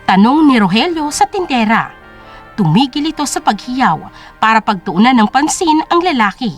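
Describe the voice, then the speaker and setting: A female narrator with a high-pitched voice and very animated intonation. She tells a scripted dramatic story as an audio drama storyteller, with faint music playing behind her. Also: moderate pace.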